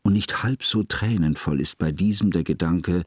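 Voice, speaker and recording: A scholarly, devoted friend as narrator, with a very deep and grainy voice. He speaks solemn, formal in a literary radio play. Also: breathy edge, no room sound, studio quality. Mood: grave, moved. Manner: formal, slow-weighing, solemn. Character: grave, scholarly male narrator, devoted friend looking back.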